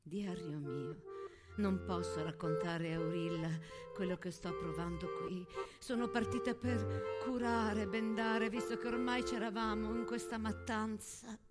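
Actress as young woman war nurse, theatrical monologue, weary, angry, compassionate, deliberate pauses, music behind. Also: mid-range voice, fairly even intonation, close to an everyday voice. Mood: weary, anguished. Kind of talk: dramatic stage monologue over music.

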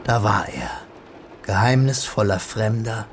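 A man acting as the narrator of a radio play, reading literary prose in a composed, measured, descriptive way. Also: on the low side, big pitch swings, slight background noise. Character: composed male third-person narrator of a literary tale.

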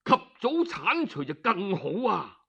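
A man's voice: high-pitched, sing-song, a little slow, creaky, bright, forceful tone, breathy edge, highs cut off, exaggerated voice. A man voicing a shrewd, respectful minister of an ancient Chinese court, speaking Cantonese in an earnest, persuasive manner. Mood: earnest urging. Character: deferential, shrewd male minister advising his lord.

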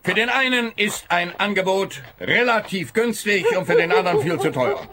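An actor playing a fussy, rambling, pompous police officer in a comic detective radio play. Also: male voice, high-pitched, moderate pace, bright, forceful tone, slight background noise. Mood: smug, digressive. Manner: fussy, digressive, self-amused.

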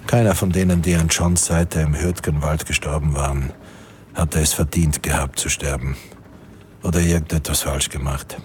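Deep-voiced solemn narrator. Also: male voice, steady tone, moderate pace, slight background noise. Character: solemn, measured narrator of a war story. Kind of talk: scripted literary radio drama narration.

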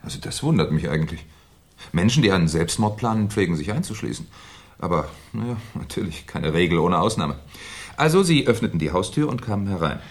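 A deep-voiced, shrewd police commissioner. He is courteous and musing as he probes, in the style of a 1970s radio crime drama. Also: very animated, brisk. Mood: sceptical, musing. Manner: polite, musing, quietly probing.

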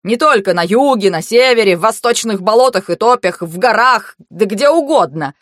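A furious young mage rants at full volume, swearing and shouting down everyone around him, as a woman reader puts him on. The voice is close and dry, with no room around it. It is a fantasy audiobook. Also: mid-range voice, expressive, moderate pace, dry recording, lightly acted. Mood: raging. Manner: ranting, yelling. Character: furious foul-mouthed young male mage.

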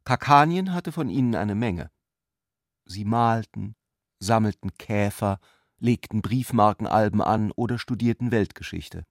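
A man's voice: lowish voice, lively intonation, measured pace, no echo, studio quality. A man reading literary narration as a narrator in a radio play. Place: dry radio studio.

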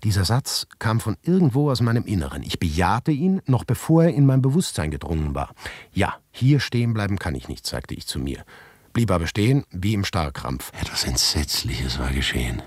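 A haunted male writer narrates a radio play in an extremely low, very husky voice. His delivery is brooding, theatrical and deliberate, full of anxious dread. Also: sing-song, dry recording, heavily acted. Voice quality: deep, gravelly, husky, often breaking into whispers. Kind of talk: literary radio play monologue.

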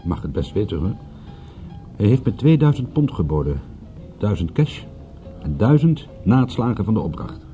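An actor in a Dutch radio play voicing a hard-up private detective who is direct, dry and sardonic. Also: male voice, fairly low pitch, sing-song, moderate pace, dark tone. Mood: measured, probing. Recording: muffled old radio recording, faint music.

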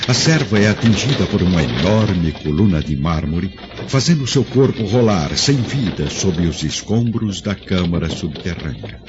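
A man narrating a radio drama in a measured, solemn storytelling manner. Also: low-pitched, lively intonation, background noise. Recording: dull lo-fi audio under loud music.

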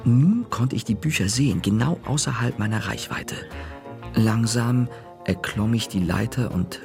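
A man narrating a children's story in the first person, calm, dropping to a whisper in quiet moments. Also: lowish voice, vocal fry.

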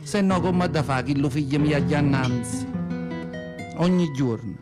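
A man's voice: fairly high pitch, normal speed, close to an everyday voice. A man playing a nearly hundred-year-old poor, devout woman from southern Italy, telling her memories in a radio monologue with a Campanian accent and folksy dialect. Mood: devout, anxious. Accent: Neapolitan-area southern Italian (Campania). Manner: folksy dialect storytelling, plaintive, repeats words for emphasis. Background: acoustic guitar music.

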